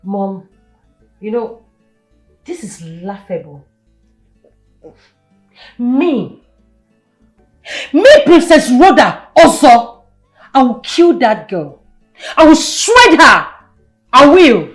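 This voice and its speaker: A humiliated young princess in a film melodrama. Her voice is high and moves up and down widely, with petulant, emphatic repetition and a quick, vengeful temper. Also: animated, reverberant, soft background music. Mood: bitter, vengeful. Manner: emphatic, repeats herself, petulant.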